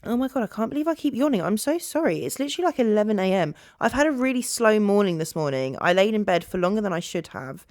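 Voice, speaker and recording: A British woman in her twenties hosting a podcast solo, rambling casually to her viewers in an animated, chatty way. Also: fairly low pitch, no echo, studio quality. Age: 20s. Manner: chatty, animated, jumps between topics. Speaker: podcast host.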